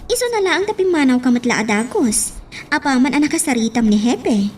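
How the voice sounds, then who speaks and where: An actress with a Filipino accent playing a respectful, caring young daughter, earnest and coaxing, in a radio drama. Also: female voice, high voice, very animated, fairly quick, a little mellow, a little hiss or hum. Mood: apologetic pleading.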